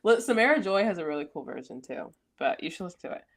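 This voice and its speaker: A woman's voice: on the low side, big pitch swings, fast talker. A woman guest on a podcast, a jazz singer who laughs often and talks casually.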